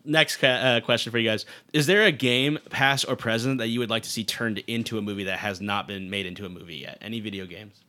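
Bright-voiced man hosting a casual chat. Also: lowish voice, fast, hardly any pauses. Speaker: podcast host.

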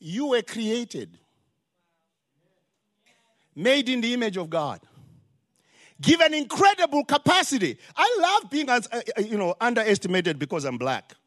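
A man's voice: very high-pitched, wildly swooping pitch, a little slow, pauses often, a bit bright. An older man from Zimbabwe with a Zimbabwean accent, preaching a sermon in an emphatic style that builds to rising exclamations. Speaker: pastor.

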